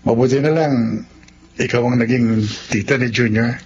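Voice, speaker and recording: Actor as considerate young Filipino suitor, mid-pitched. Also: male voice, steady tone, moderate pace, a little mellow, close to an everyday voice. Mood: kind, admiring. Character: considerate, slightly shy young male family friend. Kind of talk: Tagalog radio drama acting. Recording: muffled, faintly noisy radio drama audio.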